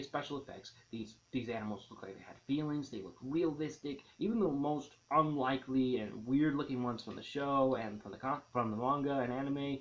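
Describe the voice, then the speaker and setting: Guy doing a movie review vlog, regular pitched voice, excited and rambly, kinda muffled lofi mic. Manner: enthusiastic, rambling, repeats and rephrases himself. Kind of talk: casual video movie review.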